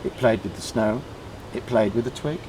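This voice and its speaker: A man reading a children's story aloud in short, deliberately emphasised phrases. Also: medium pitch, a little mellow, a little hiss or hum. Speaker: storybook reader.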